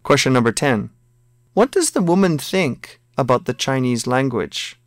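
A man reads a language listening test, voicing one side of short scripted dialogues and then the numbered questions. His delivery is slow, careful and textbook-clear, at an ordinary mid pitch. The recording is dry and close, with no room sound. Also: expressive, no echo.

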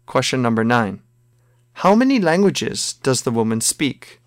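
A man reads a language listening test, voicing one side of short scripted dialogues and then the numbered questions. His delivery is slow, careful and textbook-clear, at an ordinary mid pitch. The recording is dry and close, with no room sound. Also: very animated, no room sound.

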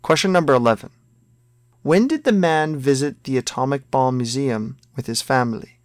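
A man reads a language listening test, voicing one side of short scripted dialogues and then the numbered questions. His delivery is slow, careful and textbook-clear, at an ordinary mid pitch. The recording is dry and close, with no room sound. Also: animated, dry recording.

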